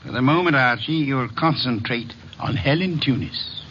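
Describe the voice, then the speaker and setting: An actor playing a shrewd, imperious detective boss in an old radio drama, speaking formally and deliberately. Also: male voice, medium pitch, over loud music, natural voice. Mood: brisk, commanding. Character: shrewd, imperious middle-aged male private detective boss. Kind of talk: 1950s radio detective acting. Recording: dull vintage radio recording.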